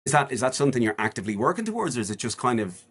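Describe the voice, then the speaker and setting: A man's voice: medium pitch, very animated, very fast, fairly bright tone, breathy edge. A man in his forties, a guest on a podcast, chatting casually in a rambling way with many restarts and fillers. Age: 40s. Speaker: guest, charity walker.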